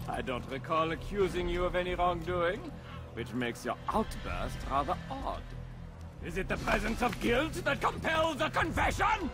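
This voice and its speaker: An actor voicing a paranoid, menacing knight commander in a video game, with an accusatory, imperious and theatrical delivery. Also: male voice, sits high, wildly swooping pitch, measured pace, exaggerated voice. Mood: sardonic menace. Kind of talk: video game villain acting. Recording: dramatic music behind the voice. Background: music.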